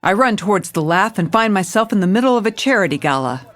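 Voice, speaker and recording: A woman's voice: mid-range voice, animated, quick pace, fairly bright tone, faint music behind, close to an everyday voice. A woman playing a jaded, hard-boiled police commissioner, narrating a noir audio drama in a dry, world-weary, stern way. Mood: tense, wry.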